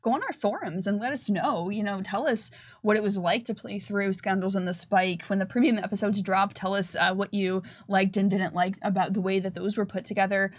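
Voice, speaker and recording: A woman's voice: mid-range voice, fairly even intonation, brisk, few pauses, no room sound, clean studio sound. A woman presenting a livestream as a game developer, chatting casually in a playful, teasing way.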